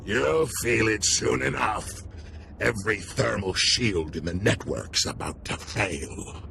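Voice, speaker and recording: A menacing alien ex-soldier game villain, slow gravelly drawl, cocky and taunting, bit of noise behind. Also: male voice, lowish voice, expressive, exaggerated voice. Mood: defiant. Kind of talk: video game villain acting.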